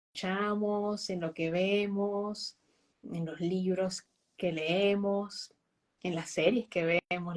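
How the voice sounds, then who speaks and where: A middle-aged woman speaks as a guest therapist, with lively emphasis. She keeps a middle pitch and often pauses to check in with her listener through quick tag questions. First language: Spanish. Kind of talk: conversational interview answers.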